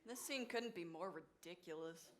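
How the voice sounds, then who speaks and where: A woman's voice: on the low side, animated, moderate pace, natural voice. A woman playing a wry, wistful musical-theatre fan who narrates in asides to the audience during a stage show. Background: loud music.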